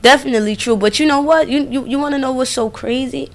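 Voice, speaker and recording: A woman's voice: sits high, fast talker. A woman radio host in casual conversation, speaking in an earnest, emphatic, colloquial way. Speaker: radio DJ and interviewer.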